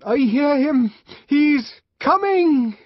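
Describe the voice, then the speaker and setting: A man voicing fervent male cult worshippers in an audiobook, with loud, stretched-out, frenzied cries and chants. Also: extremely high pitch, expressive, drawn-out words, no room sound, dull audio, pitched above the performer's own voice, heavily acted. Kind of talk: audiobook character voice.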